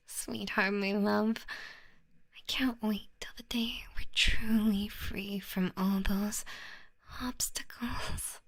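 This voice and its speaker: A young woman whispering an ASMR roleplay as an obsessive, possessive lover, cooing endearments softly. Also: mid-range voice, steady tone, slow pace, dry recording. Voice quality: soft whisper.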